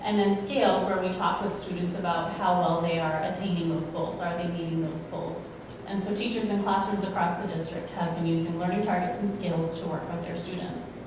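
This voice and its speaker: A woman school principal giving a prepared, measured presentation at a school board meeting. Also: on the low side, steady tone. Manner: measured, explanatory. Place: school auditorium. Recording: echoey hall from far off, noisy.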